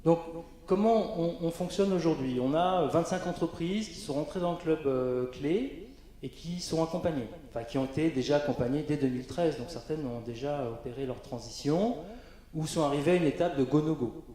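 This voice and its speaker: A man's voice: on the high side, normal speed, dark tone. A man presenting on a conference panel, hesitant, with frequent fillers and restarts. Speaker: panel speaker. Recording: very echoey conference hall.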